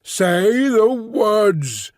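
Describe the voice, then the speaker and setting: Man putting on a hunched beast-man, thick whistling voice, high, slow sing-song chant, storybook. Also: sing-song, no echo, clean studio sound, full character voice. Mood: solemn.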